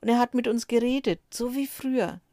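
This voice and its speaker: A woman voicing a frightened woman disciple who passes on secret news in a hushed, urgent, whispery voice. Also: on the high side, fairly quick, no room sound, clean studio sound, pitched above the performer's own voice, close to an everyday voice. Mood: earnest. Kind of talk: storybook character voice.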